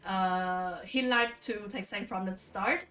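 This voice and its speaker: A woman streamer reading stories and commenting casually, with an informal, halting, rambling way of talking. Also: mid-range voice, fairly bright tone, distant mic, soft background music. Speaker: streamer reading folktales aloud. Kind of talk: casual livestream storytelling and commentary.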